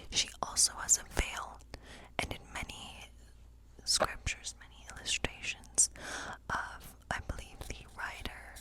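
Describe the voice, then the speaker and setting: A woman whispering a tarot reading in an ASMR fantasy role play, gentle and unhurried, explaining in short, breathy phrases. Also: low-quality recording.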